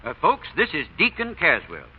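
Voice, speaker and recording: An actor playing an affable, eager-to-please small-town sheriff with a hearty, folksy manner in old radio drama. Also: male voice, a little high, wildly swooping pitch, moderate pace. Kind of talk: 1940s radio acting. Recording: muffled, noisy old radio recording.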